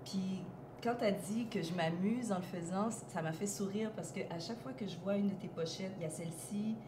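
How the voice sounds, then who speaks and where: A woman's voice: mid-range voice, a little fast. A woman who hosts the interview and asks friendly, probing questions. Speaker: interviewer host. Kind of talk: casual interview. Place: café. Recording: echoey noisy café.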